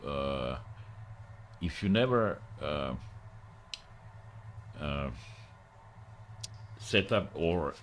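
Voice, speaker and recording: A man from Croatia with a Croatian accent, chatting casually on a live stream in broken English, rambling with many pauses. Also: extremely low pitch, lively intonation, a little hiss or hum. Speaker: livestreamer.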